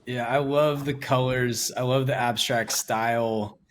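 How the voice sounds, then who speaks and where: A man hosting an interview show, energetic and friendly, with many fillers, on a video call. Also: mid-range voice, a little slow. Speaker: show host. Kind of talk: casual hosting.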